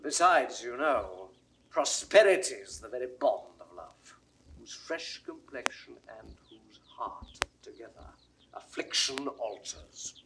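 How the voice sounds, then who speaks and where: An actor playing a loyal, earnest lord counselling a prince, speaking in measured, persuasive, declamatory classical stage style. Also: male voice, mid-range voice, expressive, pushed and bright, slight background noise, heavily acted. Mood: grave, advising. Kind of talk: classical Shakespearean stage acting, 1960s audio recording.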